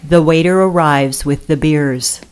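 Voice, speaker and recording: Woman narrating a radio play, low voice, takes her time. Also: unhurried, darkish tone, smooth. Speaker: stage directions narrator. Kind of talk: scripted narration for radio theatre.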